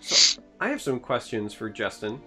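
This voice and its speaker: A man's voice: mid-range voice, big pitch swings, moderate pace, sharp tone, breathy edge, soft background music. A man from Michigan hosting a casual podcast chat, asking questions and cracking dry jokes. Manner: dry, joking, asks questions.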